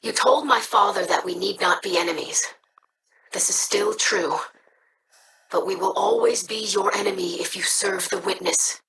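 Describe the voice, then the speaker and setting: An earnest young alien scribe speaks formally in a bright voice. The line sounds set back from the mic with faint noise. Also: female voice, mid-range voice, lively intonation, moderate pace, gravelly, distant mic, poor audio.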